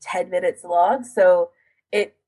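Chatty woman with a slightly husky voice. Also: fairly low pitch, fairly even intonation, takes it slowly, mellow. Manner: chatty, explains in detail with frequent 'like'. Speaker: podcast co-host. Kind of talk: casual podcast chat.

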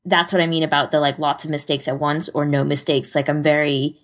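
A woman's voice: low-pitched, little pitch movement, brisk. A woman co-hosting a podcast in a casual, conversational way, explaining things step by step with loose, informal asides. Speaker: podcast co-host. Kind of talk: casual chat.

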